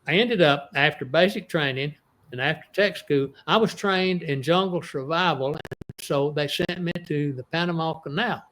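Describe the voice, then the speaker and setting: A man's voice: fairly high pitch, normal speed, fairly bright tone, low-quality recording. A man in his eighties with an East Texas accent, an author telling stories in an interview, rambling with many restarts. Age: 80s. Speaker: author guest. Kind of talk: casual interview storytelling.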